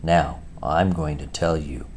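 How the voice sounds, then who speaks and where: A man's voice: very deep voice, steady tone, measured pace, a little mellow, slight background noise. A man voicing a stern, dry-voiced old male badger in a storybook reading. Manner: severe, dry, thin-voiced, then kindly.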